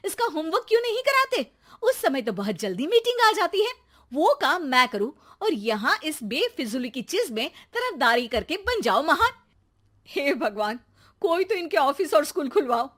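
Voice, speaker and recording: A woman voicing an exasperated, sarcastic Indian mother who nags loudly and dramatically in a children's cartoon story. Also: very high voice, pitched above the performer's own voice, heavily acted. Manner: sarcastic, loud nagging with dramatic exclamations. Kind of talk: animated children's comedy story.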